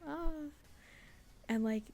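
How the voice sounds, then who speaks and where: A woman's voice: on the high side, takes it slowly, creaky, a little mellow. A young woman giving a casual, rambling voice-over about her own artwork in a self-deprecating, giggly way, peppered with "like.". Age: young adult. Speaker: artist and game maker narrating a devlog.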